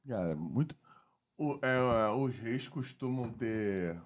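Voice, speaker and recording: A man's voice: mid-range voice, lively intonation, slow, dull audio. A man hosting a casual podcast interview in an informal, bantering way.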